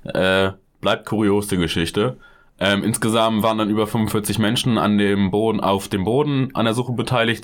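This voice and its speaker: Guy with a real low voice cracking crude jokes on a crime podcast. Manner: joking, crude slang, lively asides. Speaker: podcast co-host. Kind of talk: casual true-crime podcast chat.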